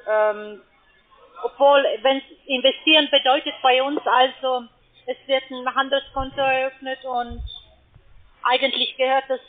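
High-voiced woman on a tinny phone line. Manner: hesitant, halting, persuading. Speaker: call centre trading salesperson. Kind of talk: unscripted sales phone call. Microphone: telephone.

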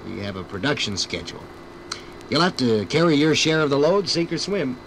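Casting: firm no-nonsense boss, 1950s radio drama, animated, noisy old recording. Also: male voice, medium pitch, a little fast. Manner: firm, businesslike. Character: no-nonsense boss laying down terms to a newcomer. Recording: old radio record, clear background noise.

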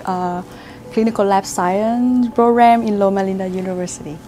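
A woman's voice: medium pitch, steady tone, moderate pace, warm tone, a little hiss or hum. A young woman from Vietnam with a Vietnamese accent, answering interview questions as a student and searching for her words. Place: university lab building, indoors.

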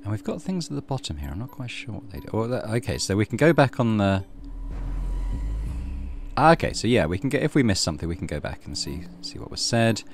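Deep-voiced man reading game narration. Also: sing-song, quick pace, slight background noise. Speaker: let's play host. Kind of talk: reading game narration aloud, with casual commentary.

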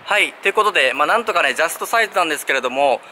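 A man in his thirties filming himself on a walk, chatting in a relaxed way with many fillers. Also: fairly high pitch, very fast. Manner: relaxed, filler-heavy narration with frequent "ne" tags. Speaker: sneaker vlogger. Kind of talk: casual walk-and-talk vlog. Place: outdoors on city streets, walking. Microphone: handheld camera at arm's length. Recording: street noise behind.

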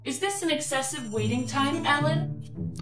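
Female computer assistant, high-pitched, polite, precise, literal, impassive, sci-fi audio drama, music behind. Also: expressive, a little slow.

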